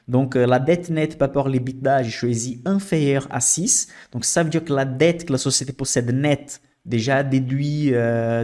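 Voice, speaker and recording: A man's voice: mid-range voice, a little mellow. Man walking through a stock screener. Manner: explanatory, frequent "ok?" checks and fillers. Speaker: investing channel host. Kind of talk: screen-share finance tutorial.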